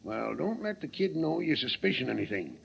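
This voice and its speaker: A man playing a gruff, suspicious frontier sheriff in a 1930s radio western, with a folksy, blustering drawl. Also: medium pitch, very animated, a little fast, a bit bright, full character voice. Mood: wary, conspiratorial. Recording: crackly noisy 1930s radio recording.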